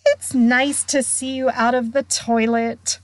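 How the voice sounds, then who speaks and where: A woman's voice: high voice, fairly even intonation, no echo, voice pushed up, full character voice. A woman voicing an anxious, know-it-all young schoolgirl who speaks earnestly and nervously in a storybook. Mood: falsely bright. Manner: earnest, hurried, falsely bright when nervous.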